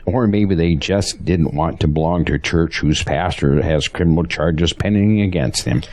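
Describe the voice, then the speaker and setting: An actor playing a devout, steadfast pastor in an audio drama, speaking in an earnest, resolute way. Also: male voice, deep voice, moderate pace, darkish tone, natural voice. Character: devout, steadfast male pastor facing persecution, married.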